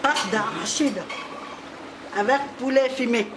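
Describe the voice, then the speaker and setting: A woman's voice: fairly high pitch, slow, very noisy. A woman living in a shelter, cooking in a kitchen and answering cheerfully in simple phrases. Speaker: shelter resident cook. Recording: echoey kitchen with loud clatter.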